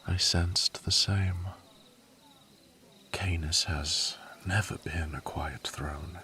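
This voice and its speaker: A man's voice: low voice, steady tone, drawn-out words, bright, forceful tone, slight background noise, exaggerated voice. A man playing a loyal royal servant in a fantasy audio drama, formal, courteous and restrained, with dry wit. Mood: solemn.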